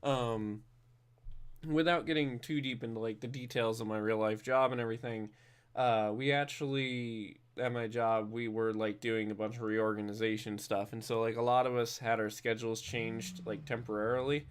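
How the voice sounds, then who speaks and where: A man's voice: mid-range voice, fairly even intonation, moderate pace, smooth. Drowsy, slightly nasal streamer man. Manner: chatty, many fillers and restarts. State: just woke up, drowsy. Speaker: game streamer. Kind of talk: casual rambling stream chat.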